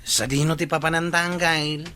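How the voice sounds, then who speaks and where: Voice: medium pitch, little pitch movement, moderate pace, pushed and bright, lightly acted. An actor playing the questioning, plain-speaking sidekick of a village captain in an Ilocano comedy drama.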